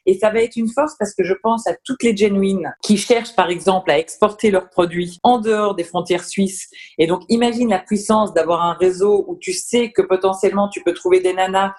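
A woman's voice: mid-range voice, moderate pace. A woman, a business founder talking enthusiastically as a podcast guest. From Belgium. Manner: lively, enthusiastic, talks through ideas with fillers. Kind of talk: casual interview chat.

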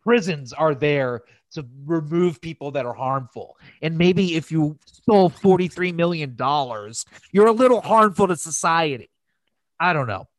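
Exasperated man ranting, slightly high voice. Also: lively intonation, normal speed, no room sound, poor audio. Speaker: podcast host. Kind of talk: casual opinionated podcast chat.

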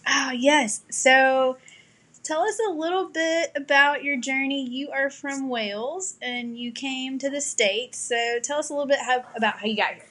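A woman's voice: high voice, normal speed, a bit bright. A woman hosting a podcast interview, asking questions and giving brief, encouraging affirmations. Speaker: podcast host.

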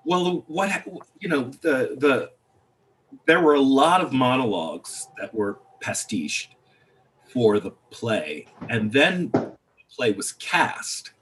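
A playwright talking about his work on a video call, reflective and halting, restarting his sentences often. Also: medium pitch, lively intonation, a bit bright, poor audio. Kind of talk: panel discussion over video call.

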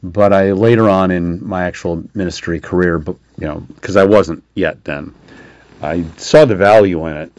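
A man's voice: deep voice, darkish tone, no echo, highs cut off. A mature American man, a pastor, giving an informal afterword in a rambling, conversational way. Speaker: pastor, host.

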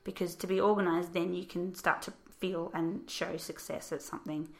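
A woman teacher, speaking thoughtfully and patiently in a casual discussion, her voice a little croaky. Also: lowish voice, flat delivery, normal speed.

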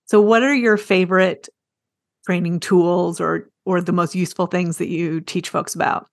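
A woman's voice: lowish voice, fairly even intonation, moderate pace, creaky, no room sound, clean studio sound. An American woman hosting a casual podcast interview in a chatty, enthusiastic way. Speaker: podcast host.

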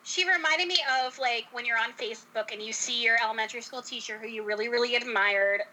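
Central pa woman on a podcast, sing-songy and wry, bright but kinda husky. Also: a little high, fast, poor audio. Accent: Central Pennsylvania. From Central Pennsylvania. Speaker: podcast co-host. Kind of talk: casual podcast chat.

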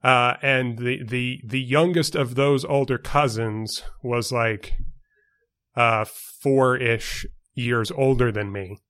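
Podcast co-host, young man from New York, precise and measured with lots of fillers, mid-pitched voice. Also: fairly even intonation, fairly bright tone, clean tone. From New York City. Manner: measured, precise wording with many fillers and restarts. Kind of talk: casual chat.

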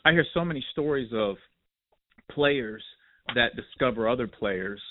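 A man's voice: medium pitch, a little slow, a little hiss or hum. A man hosting a casual podcast interview, telling stories with quoted asides. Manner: animated, storytelling with quoted asides. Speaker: podcast host. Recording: tinny phone-like line, dry.

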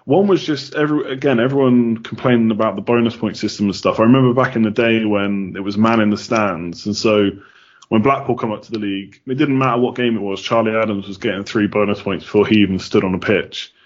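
A man's voice: on the low side, steady tone, fast talker, highs cut off. A man from the United Kingdom answering casually as a podcast guest, chatty with many ums.

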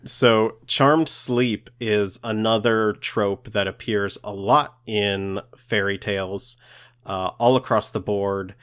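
A man co-hosting a podcast, explaining lore in a halting way as he searches for words. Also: lowish voice, steady tone, a bit bright, clear, resonant tone. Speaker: podcast co-host.

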